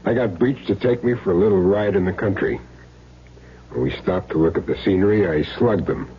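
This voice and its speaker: An actor playing a cool, wisecracking hired killer in a radio drama, dry and glib. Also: male voice, low-pitched, brisk, slight background noise, natural voice. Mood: cool, businesslike. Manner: dry, glib, evasive answers. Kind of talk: 1970s radio drama acting. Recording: dull vintage radio broadcast.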